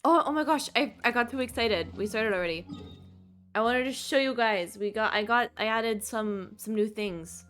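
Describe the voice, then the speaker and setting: A woman's voice: a little high, normal speed, fairly bright tone, faint music behind. A woman livestreaming a game with casual, giggly chat and an easily flustered manner.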